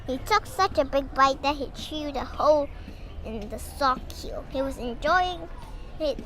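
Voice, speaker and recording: A young girl reading a storybook aloud in a halting way, stumbling over words. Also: sits high, a bit bright, a little hiss or hum. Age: child. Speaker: young reader.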